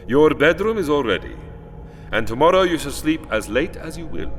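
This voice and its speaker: An actor playing a courtly, sinister old vampire count in an audio drama, savouring his words with theatrical, drawn-out delivery. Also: on the high side, big pitch swings, faint music behind. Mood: courteous, ominous.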